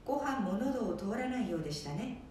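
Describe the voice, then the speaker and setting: A woman's voice: mid-range voice, expressive, a little fast, a little mellow. A woman narrating an amateur radio drama as its presenter. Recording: echoey room, distant mic, faint noise.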